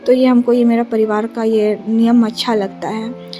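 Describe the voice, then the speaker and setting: A young woman from Jharkhand, India, vlogging casually on a phone camera, her throat a little sore, speaking softly with many hesitations. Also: on the high side, level tone, moderate pace, soft background music. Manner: soft, subdued, many hesitations. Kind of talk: casual vlog narration. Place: bus stand, then a relative's flat.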